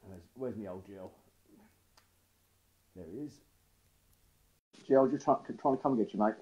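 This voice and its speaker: A man, hoarse and croaky, hosting a casual live stream show and chatting in a rambling way. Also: fairly low pitch, a little slow, muffled recording. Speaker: podcast host.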